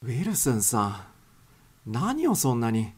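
A man's voice: mid-range voice, wildly swooping pitch, measured pace, darkish tone, voice pushed up, full character voice. A man voicing a formal, placating male airline captain who speaks carefully in a story reading. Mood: pleading. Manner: polite, careful, pleading. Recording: clear background noise.

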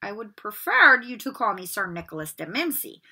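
A woman's voice: medium pitch, sing-song, moderate pace, pushed and bright, husky, heavily acted. A woman voicing a pompous old male ghost who speaks formally and gets easily miffed. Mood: stiff. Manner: formal, fussy and stiff.

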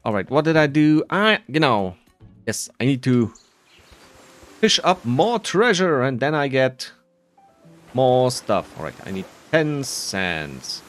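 A man plays a game and talks through it casually in an unhurried, very animated voice. He rambles and mutters asides to himself, with faint music behind him. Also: mid-range voice. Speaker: gamer narrating his playthrough.

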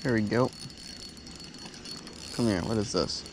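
A man's voice: on the low side, slow pace. A young man vlogging while he fishes from a dock, explaining in a loose, easygoing way with lots of "like" and "sorta". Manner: laid-back, loose with fillers like "sorta" and "like". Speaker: fishing vlogger. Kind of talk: casual narration while fishing. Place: outdoors on a dock by the water, evening into night. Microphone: head-mounted action camera. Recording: outdoor noise, insects and crickets.

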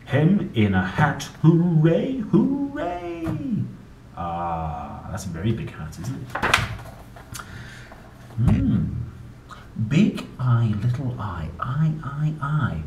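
Very animated man reading to children. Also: mid-range voice, extremely slow, gravelly, lo-fi sound. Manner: playful, sing-song, chatting to young listeners in questions and asides. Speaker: children's book reader and presenter. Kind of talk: animated read-aloud of a children's alphabet book. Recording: roomy, distant mic with faint background noise.

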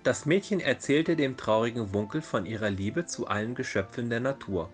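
A man's voice: mid-range voice, normal speed. Guy doing a dramatic fairy tale reading, normal mid voice, bit of music behind. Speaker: storyteller. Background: background music.

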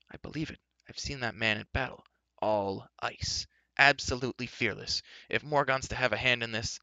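A man's voice: mid-range voice, gravelly, pushed and bright, no echo, low-quality recording. A man voicing a genial bard who talks with chatty admiration, as a character in a fantasy storybook.

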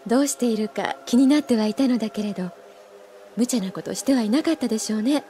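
A woman's voice: fairly high pitch, quick pace, warm tone, slight background noise, natural voice. A woman playing an elegant, composed older schoolgirl with refined, ladylike poise in an anime audio drama. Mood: gently concerned.

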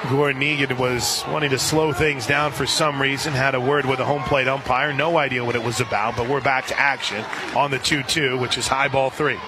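A man doing live radio play-by-play of softball in a conversational way that can burst into excited calls. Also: medium pitch, fairly quick, background noise. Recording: crowd and music behind broadcast. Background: stadium crowd, music.

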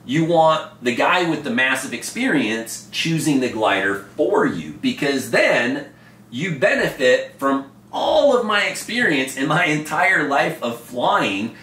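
A man in his forties, a paragliding instructor, explaining to camera in an emphatic, animated way, gesturing as he talks from a home office. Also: on the high side, measured pace, recorded from a distance. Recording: echoey room, a bit off-mic.

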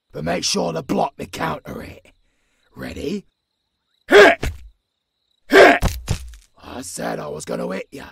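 A man playing a tough, caring old werewolf mentor with a London British accent and a raspy growl, in an audio roleplay. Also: a bit bright, no room sound, full character voice. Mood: fierce, shouting. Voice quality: very deep, raspy, gravelly growl. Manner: slow, rough, swearing, commanding.

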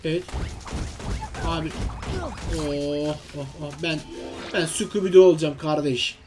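A man's voice: on the high side, steady tone, measured pace. A man giving casual, exclamatory commentary on a video game, talking to himself and to his viewers. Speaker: gaming video host.

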